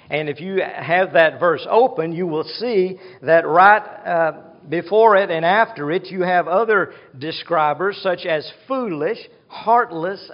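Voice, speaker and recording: A male preacher with a fairly high voice speaks at a slow, measured pace, stressing his points through repetition. His voice carries a long hall reverb, and the sound is somewhat dull. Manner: slow, deliberate teaching with emphatic repetition. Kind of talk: sermon.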